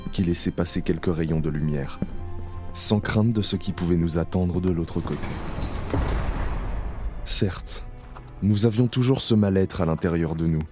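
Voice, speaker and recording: Male narrator, very deep, grave and measured, over a music bed. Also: mellow. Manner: slow, solemn, measured. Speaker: narrator playing Isaac, camp prisoner. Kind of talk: audio drama narration. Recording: clear music bed under voice.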